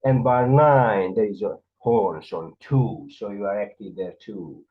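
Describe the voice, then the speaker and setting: A man's voice: fairly low pitch, a little slow. A man with a Hungarian accent teaching conducting, explaining the beats and sometimes counting or singing the rhythms aloud. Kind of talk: explanatory music lesson. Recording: dull lo-fi sound.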